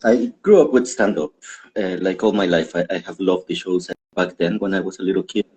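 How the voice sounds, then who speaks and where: A man's voice: low voice, a little fast, darkish tone, rough recording. A man from Guatemala, a stand-up comedian, chatting casually and self-deprecatingly as an interview guest. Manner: rambling, self-deprecating, laughs often.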